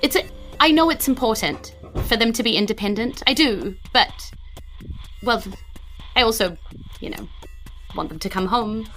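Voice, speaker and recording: A woman plays an anxious mother who holds office in an undersea fantasy town. Her voice is bright and crisp, and she talks in flustered, halting repetitions. Faint music sits behind her. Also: on the high side. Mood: worried, fretful. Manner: flustered, hesitant, repeats words.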